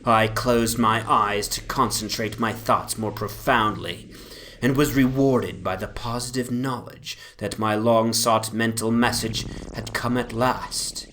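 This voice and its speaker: A man giving a solemn, deliberate dramatic narration of a literary horror story over an ambient soundscape. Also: on the low side, fairly even intonation, pushed and bright, slight background noise.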